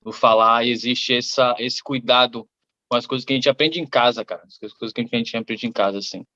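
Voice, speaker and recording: A man's voice: mid-range voice, steady tone, a little fast, bright, forceful tone. Musing man, dull audio. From Salvador, Bahia, Brazil. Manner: reflective, rambling, many fillers. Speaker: musician guest. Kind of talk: interview answers.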